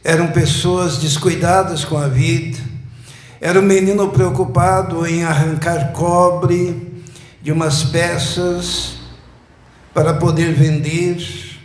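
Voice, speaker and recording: A man, preaching a sermon slowly and emphatically, building up repeated phrases. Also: a little high, level tone. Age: 60s. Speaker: pastor. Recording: echoey church room.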